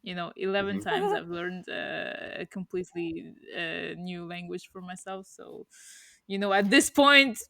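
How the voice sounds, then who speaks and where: A woman's voice: on the low side, very animated, unhurried, vocal fry, bright, forceful tone, no room sound. Chatty woman in her thirties, dry sound. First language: Russian. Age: 30s. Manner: reflective, many fillers and restarts. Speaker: podcast co-host. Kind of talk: casual chat.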